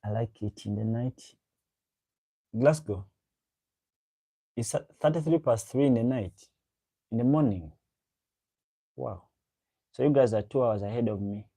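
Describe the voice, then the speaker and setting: A man's voice: mid-range voice, unhurried, vocal fry, long pauses, mellow, breathy edge. A man hosting a livestream, chatting casually with viewers and reading out their comments, repeating himself as he goes. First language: a local African language. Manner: rambling, repeats himself, chats directly to viewers. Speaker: livestream host.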